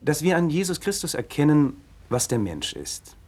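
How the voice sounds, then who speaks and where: An actor playing a learned religion teacher in a radio play, explaining things patiently in a measured, didactic manner. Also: male voice, on the high side, very animated, a little mellow, slightly rough. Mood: explanatory.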